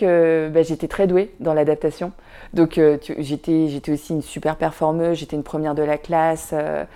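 Low-voiced woman, reflective and halting. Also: dark tone, clean tone. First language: Vietnamese. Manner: thoughtful, many fillers and restarts, addresses listener with "tu vois". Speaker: podcast guest, filmmaker. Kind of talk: casual reflective interview talk.

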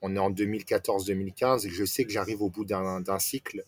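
Male guest, forties, from France: fairly low voice, casual explanatory storytelling, punctuated by self-posed questions. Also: level tone, fast talker, slightly rough. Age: 40s. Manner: explanatory, poses questions to himself and answers them. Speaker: interview guest, entrepreneur. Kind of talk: casual personal storytelling in an interview.

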